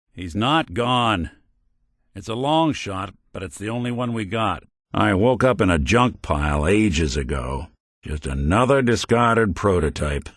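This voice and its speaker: An actor playing a world-weary but kind synthetic private detective in a video game, with a wry, deliberate noir delivery. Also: male voice, fairly low pitch, fairly bright tone, no echo, clean studio sound, heavily acted. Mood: pensive, grim. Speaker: companion character in a video game. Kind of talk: hard-boiled noir detective game dialogue.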